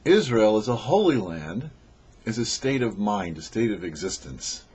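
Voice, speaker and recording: Rabbi giving a weekly Torah talk: a man with an animated, husky voice, slow emphatic phrasing. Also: fairly low pitch. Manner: measured, lively teaching delivery with slow emphatic phrases. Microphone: studio microphone.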